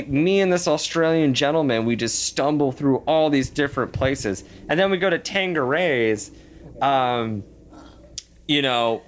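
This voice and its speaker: A man in his thirties in casual chat, telling rambling stories full of "like" and getting animated. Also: medium pitch. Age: 30s. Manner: rambling storyteller, heavy filler "like", animated.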